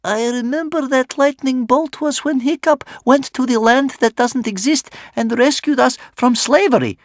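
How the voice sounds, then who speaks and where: A narrator acts a little wanderer child in a storybook tale. The voice is very high and excited, calling out loudly. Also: normal speed, dry recording, studio quality. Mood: excited, grateful.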